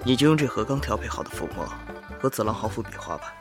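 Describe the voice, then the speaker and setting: A man's voice: mid-range voice, animated, quick pace, over music, natural voice. A man voicing a proud, aloof senior talisman master who speaks in a cool, terse way in an amateur audio drama. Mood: skeptical.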